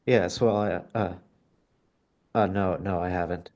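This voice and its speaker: A man's voice: deep voice, fairly even intonation, a little slow, darkish tone. A man playing an eager, helpful office employee in a script table read. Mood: nervous, deferential.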